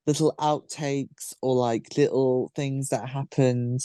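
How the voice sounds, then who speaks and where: Guy hosting a podcast, mellow and slow, feels his way through questions, casual. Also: mid-range voice, steady tone, clean tone, dry recording, studio quality. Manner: hesitant, searching for words. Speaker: podcast host. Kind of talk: casual interview chat.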